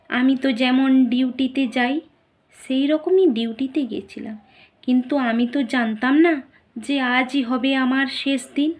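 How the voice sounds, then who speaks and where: A young woman voicing the grieving ghost of a murdered young woman doctor, pleading tearfully with her mother in a slow, dramatic monologue that sometimes drops into whispers. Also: sits high. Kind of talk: dramatic first-person monologue.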